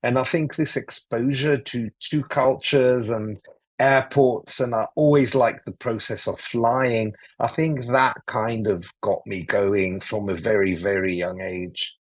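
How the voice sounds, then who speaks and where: A man in his forties from Greece, talking as a podcast guest in a relaxed, rambling way with many fillers and restarts over a remote call. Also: medium pitch, measured pace, slightly rough, dry recording. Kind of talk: casual interview talk. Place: cozy room.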